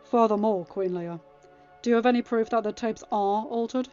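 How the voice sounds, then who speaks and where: A woman's voice: a little high, moderate pace, a little mellow, highs cut off, close to an everyday voice. A woman voicing a firm, formal young king presiding over a council in a story reading. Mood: stern. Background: music.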